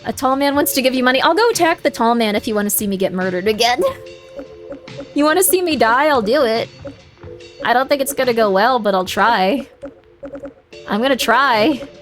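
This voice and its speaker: A woman chatting casually and playfully on a livestream, reacting aloud as she plays a game. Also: fairly high pitch. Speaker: streamer. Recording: prominent game music behind. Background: video game music.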